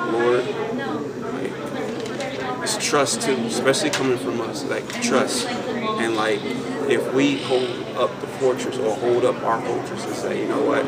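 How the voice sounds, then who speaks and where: A man in his twenties talking casually about faith in a halting, thoughtful way, often repeating phrases. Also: mid-range voice. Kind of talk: casual group discussion of faith. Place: large open indoor lounge. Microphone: camera mic a few feet away. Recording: distant mic amid loud chatter.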